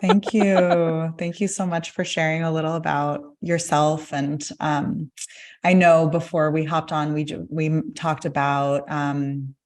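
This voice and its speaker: A woman with a notably low-pitched voice hosts a webinar interview over a video call. She speaks gently and encouragingly, with small hesitations. She often murmurs soft "mm"s of agreement. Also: little pitch movement, measured pace. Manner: gentle, affirming, many soft "mm" responses. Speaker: host, licensed midwife. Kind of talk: interview host chat.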